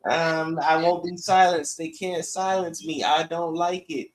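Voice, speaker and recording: A man with a fairly high-pitched voice co-hosts a sports podcast. He argues his opinions casually but emphatically, often framing them as rhetorical questions. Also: flat delivery, measured pace.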